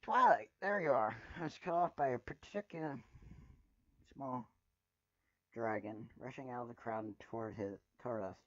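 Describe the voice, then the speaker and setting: A man with a husky voice reading a first-person story aloud as dramatic narration. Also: medium pitch, moderate pace, long pauses, darkish tone. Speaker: fan fiction reader. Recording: muffled, roomy home recording.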